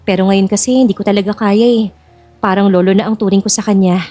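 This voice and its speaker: A woman's voice: mid-range voice, fairly even intonation, quick pace, warm tone, faint music behind, close to an everyday voice. A woman playing an earnest, guarded young bride-to-be in a Filipino audio drama, speaking conversationally. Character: Jasmine, worried young bride-to-be of a rich old man.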